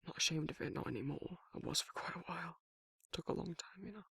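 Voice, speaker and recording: A man playing a protective, tender werewolf lover in intimate ASMR roleplay, murmuring softly and informally with a husky, breathy voice. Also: on the high side, fast talker, dry recording, clean studio sound, heavily acted. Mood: tender, coaxing.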